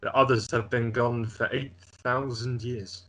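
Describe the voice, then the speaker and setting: A man's voice: medium pitch, fairly even intonation, a little slow, fairly bright tone. A man playing a stern, grave lord and father in an amateur script table read.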